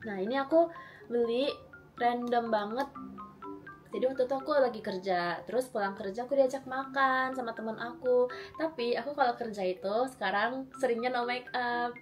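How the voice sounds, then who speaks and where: A young woman with a slightly hoarse voice chats away while she does her makeup at home, rambling through fillers and little giggles. Her voice sits a touch high, and light mallet music plays behind her. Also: normal speed. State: recovering from a cold. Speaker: beauty vlogger. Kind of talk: casual makeup vlog chat.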